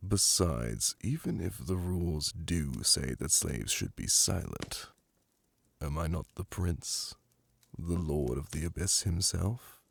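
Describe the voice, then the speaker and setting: A man voicing a suave, flirtatious, lordly demon prince in an ASMR roleplay, purring teasing endearments in a languid, imperious manner with a gravelly, breathy voice. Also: sits low, no echo, heavily acted. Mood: lordly, indulgent. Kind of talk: ASMR roleplay audio drama. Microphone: close, dry microphone.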